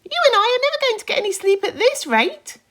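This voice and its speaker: Woman voicing a shrill, bossy storybook centipede. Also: big pitch swings, fast, exaggerated voice. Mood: bossy, impatient. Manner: shouting, scolding. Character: bossy irritable male giant centipede, impatient and rude. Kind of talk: children's storybook character voice.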